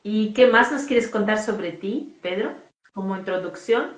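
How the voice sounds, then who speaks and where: Host: woman from northwest Spain, gushing and enthusiastic, mid pitch, roomy echo over a video call. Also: measured pace. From Galicia, Spain. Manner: effusive, enthusiastic, spiritual talk. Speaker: host, coach. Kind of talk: casual interview conversation. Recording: echoey room.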